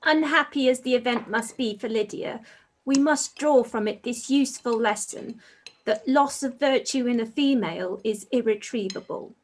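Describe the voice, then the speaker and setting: A woman's voice: on the high side, normal speed, lightly acted. A woman playing a priggish, solemn, bookish young sister in a period radio play, pompous and sententious. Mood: preachy. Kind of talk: live-read radio play acting of period drama.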